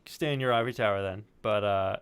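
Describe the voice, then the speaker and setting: A man's voice: fairly low pitch, quick pace, fairly bright tone, smooth, no room sound. A man hosting a casual chat show, talking in a wordy, joking way and swearing freely. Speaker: podcast and stream host.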